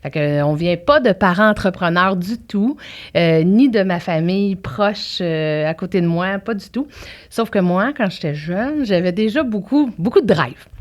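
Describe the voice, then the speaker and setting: A woman from Quebec with a Québécois French accent, a guest entrepreneur telling her story in an energetic, talkative way. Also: medium pitch, moderate pace. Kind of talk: casual interview chat.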